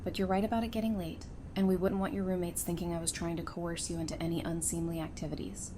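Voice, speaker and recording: A woman's voice: lowish voice, flat delivery, fast, dark tone, lightly acted. A woman playing a frank, caring female character in an audio drama, speaking directly and informally. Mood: teasing, friendly.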